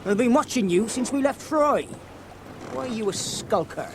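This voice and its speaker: An actor playing a bullying, suspicious pirate sailor, snarling accusations in an audio drama. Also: male voice, very high voice, lively intonation, normal speed. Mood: menacing. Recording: clear sea ambience noise behind.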